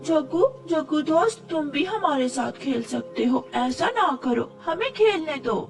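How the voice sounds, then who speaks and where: A woman's voice: sits high, expressive, a little slow, vocal fry, far from the mic, soft background music, heavily acted. A woman voicing an earnest, plaintive little child bird in a cartoon story, with pleading childlike delivery.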